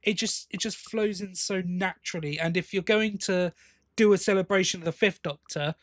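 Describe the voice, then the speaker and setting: High-pitched man chatting on a podcast. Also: moderate pace, a bit bright, dry recording, studio quality. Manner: enthusiastic, appreciative. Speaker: podcast co-host. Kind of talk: casual fan discussion.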